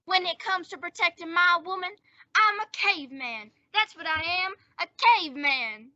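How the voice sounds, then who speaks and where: A woman playing a melodramatic, lovesick, boastful teenage boy in an old-time radio comedy, with drawn-out theatrical delivery. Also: extremely high pitch, pushed and bright, dry recording, heavily acted. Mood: blustering, boastful. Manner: drawn-out mock-dying groans and boyish bluster. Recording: dull, band-limited dry audio.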